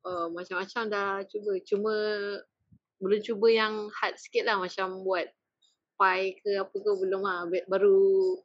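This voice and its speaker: A woman's voice: medium pitch, flat delivery, normal speed, dry recording. A woman with a Malaysian accent chatting casually as an audience member on a call. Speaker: audience member, quiz winner. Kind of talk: casual chat. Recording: dull lo-fi video-call audio.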